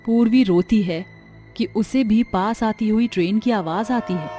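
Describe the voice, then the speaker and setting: A woman narrating a cartoon horror story in an even, dramatic storyteller's manner. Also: mid-range voice, unhurried, music in the background.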